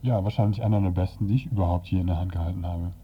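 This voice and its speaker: A man's voice: low voice, steady tone, rapid-fire, slight background noise. A man reviewing and narrating a comic as a radio presenter. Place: indoors, radio show. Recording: slightly dull, lo-fi radio recording.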